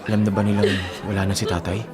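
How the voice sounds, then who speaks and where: An actor in a radio drama playing a gentle young working man who softly consoles and looks after his girlfriend. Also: on the low side, level tone, fast, close to an everyday voice. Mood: concerned. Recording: prominent music behind the voice.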